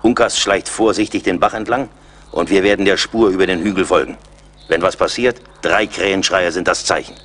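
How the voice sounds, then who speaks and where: A man's voice: deep voice, brisk, fairly bright tone, slight background noise. A man acting a seasoned frontier scout in a German radio play, assured and wry. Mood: alert.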